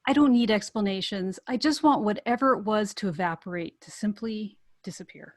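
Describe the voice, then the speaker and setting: A woman's voice: mid-range voice, fairly quick, close to an everyday voice. A woman reading the part of a bold, determined, flirtatious woman in love at a script table read. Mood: tender. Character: bold, determined woman romancing a wealthy bachelor.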